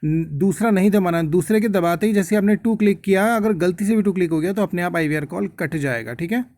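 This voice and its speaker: A man's voice: sits high, fairly quick, a little mellow. A man giving a casual, instructive explanation to viewers, mixing in English words and often checking that they follow. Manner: instructive, repeatedly checks with "okay?". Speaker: quiz-prep tutor, channel host.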